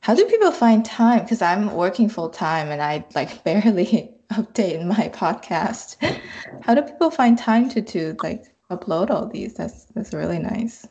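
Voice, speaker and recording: A woman's voice: mid-range voice, animated, measured pace, warm tone, dull audio. A woman chatting casually as a participant on a podcast, with faint noise and clicks on the recording. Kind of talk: casual chat.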